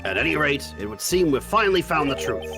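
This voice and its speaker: A pompous old judge acted by a man, ponderous and befuddled in a courtroom game dub. The pitch swings widely as he pronounces, and music plays behind the voice. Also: very animated, brisk, creaky. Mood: solemn. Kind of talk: live fan dub of a courtroom video game.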